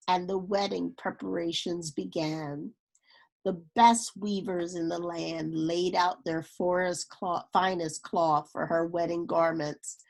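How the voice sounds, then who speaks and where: Slow-paced woman reading a storybook. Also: fairly low pitch, creaky, rough recording. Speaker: storybook reader. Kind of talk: read-aloud storytelling for children.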